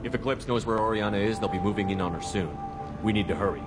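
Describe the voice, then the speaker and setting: A blunt squad commander, voiced by a man with a low voice and a quick pace. He asks direct, pointed questions, and game music runs clearly under him. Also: close to an everyday voice. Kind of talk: video game cutscene dialogue. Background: game score music.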